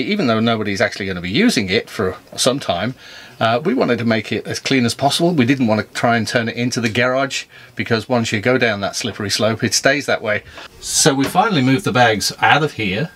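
A man in his fifties vlogging from a sailboat cabin, chatty and wry, with filler pauses. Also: mid-range voice, a bit bright. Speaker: sailing vlogger. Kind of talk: casual vlog narration.

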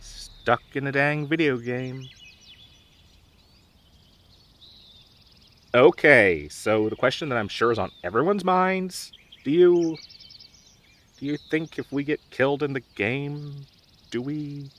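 Man acting a dorky, halting young gamer guy. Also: mid-range voice, fairly bright tone, natural voice. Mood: uneasy. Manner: halting, stammering, awkward. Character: dorky, nervous male gamer, protective best friend. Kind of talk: audio roleplay drama, conversational.

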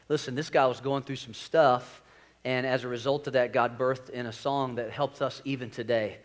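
A man's voice: medium pitch, a bit bright. A man in his forties, a senior pastor preaching on a church stage in a folksy, joking way that draws in the crowd. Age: 40s. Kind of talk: sermon. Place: large church stage with screens.

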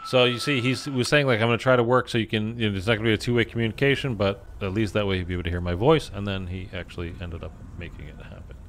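A man's voice: lowish voice, fairly even intonation, rapid-fire, few pauses, a little hiss or hum. A man casually commenting on a game as a streamer, loose and rambling with many fillers.

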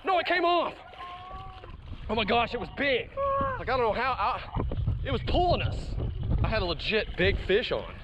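A high-voiced man talks casually and excitably, repeating short phrases as he goes. He is outdoors on the water, caught on a dull action-camera mic with loud wind and water noise. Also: normal speed, pauses often. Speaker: vlogger fishing from a jet ski. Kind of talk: casual running commentary while fishing. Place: outdoors on a jet ski at sea. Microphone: action camera worn or held close.

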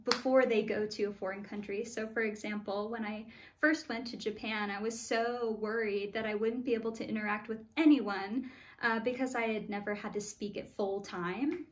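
A woman's voice: medium pitch, fairly even intonation, moderate pace, a little hiss or hum. A young American woman answering interview questions at home in a friendly, thoughtful way, with frequent "uh" fillers. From United States. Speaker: interview guest. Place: small room at home.

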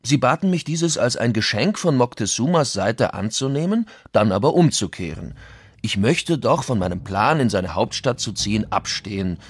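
A man's voice: medium pitch, lively intonation, moderate pace, husky, no room sound. A man reading the part of a Spanish conquistador giving his account of what he witnessed. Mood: reporting, composed. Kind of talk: dramatic reading of historical accounts.

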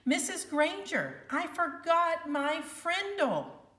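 A woman reading as a cheeky young schoolboy in a storybook, all exaggerated innocence. The pitch swings in a lively way and the voice is bright, in a roomy space. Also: slow, exaggerated voice. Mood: feigned innocence. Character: cheeky fifth-grade schoolboy playing innocent. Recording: roomy, slightly distant home recording.

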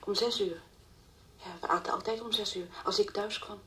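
Verdwaasde, rouwende moeder gespeeld door een vrouw, wat veraf. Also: female voice, mid-range voice, steady tone, natural voice. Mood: numb, distraught. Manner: halting, hesitant, clears her throat. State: distraught, numb. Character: grieving, dazed young divorced mother being questioned. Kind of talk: radio drama acting. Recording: distant mic, faint background noise.

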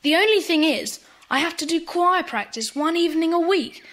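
Earnest high-voiced British schoolboy. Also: female voice, lively intonation, moderate pace, a bit bright. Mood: earnest, eager. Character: earnest, eager schoolboy in a children's club. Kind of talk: children's audio drama acting.

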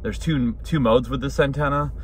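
A man's voice: medium pitch, moderate pace. A man, chatting bluntly and casually in an opinionated vlog. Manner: blunt, informal. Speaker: hobbyist radio vlogger. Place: inside a car. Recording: clear vehicle noise behind voice.

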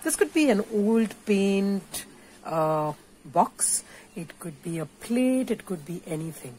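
A woman's voice: medium pitch, very animated, slow pace, a little mellow, slight background noise. An Indian-accented woman giving an informal, playful and encouraging step-by-step craft tutorial. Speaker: puppeteer teaching a craft workshop. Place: indoors at a craft table.

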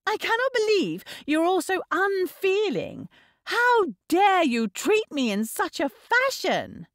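Woman voicing a shrill-pitched, bratty indignant girl. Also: wildly swooping pitch, slow pace, dry recording, studio quality, full character voice. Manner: petulant, haughty, protesting.

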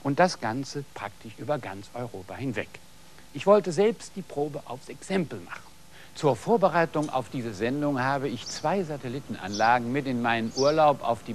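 A man's voice: mid-range voice, slight background noise. Didactic middle-aged male TV host. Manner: didactic, addresses viewers directly. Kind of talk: scripted studio presentation. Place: studio set dressed as a garden patio. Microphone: lapel mic.

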